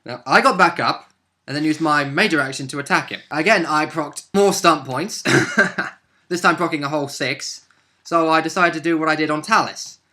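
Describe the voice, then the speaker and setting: A man's voice: fairly high pitch, normal speed, sharp tone. A man casually recounting his moves as a player in a tabletop roleplaying game, in a jokey way. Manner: jokey, chuckling often.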